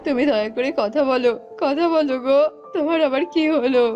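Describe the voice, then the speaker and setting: A woman's voice: sits high, a little fast, a little mellow, lightly acted. A woman voicing a callous, grudging younger jackal uncle in a dramatic, exclamatory cartoon style. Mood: distressed. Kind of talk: cartoon voice acting. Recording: muffled, music underneath.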